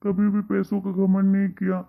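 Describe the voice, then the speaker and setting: A man playing a young husband in a cartoon family melodrama, with a flat, earnest delivery. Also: high-pitched, brisk, gravelly. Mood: remorseful. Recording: tinny, phone-like lo-fi audio.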